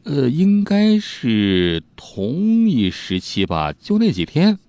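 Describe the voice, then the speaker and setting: A man playing an older village father, plain-spoken, hesitant, lifted pitch with drawn-out swings, storybook narration. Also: huge pitch swings, dark tone, no echo, exaggerated voice. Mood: thoughtful, recollecting.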